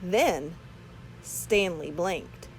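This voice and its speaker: A woman narrating a children's story aloud in an expressive read-aloud style. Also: lowish voice, drawn-out words, husky, poor audio.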